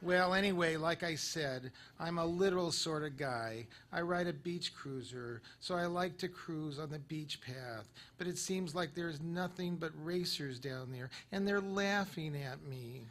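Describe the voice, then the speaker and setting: A man's voice: a little high, measured pace, few pauses, a bit bright. A man playing a literal-minded, earnest and indignant cyclist calling in to a live radio comedy sketch. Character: literal-minded, hapless male bike-cruiser owner calling a radio show. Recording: live stage recording with background noise.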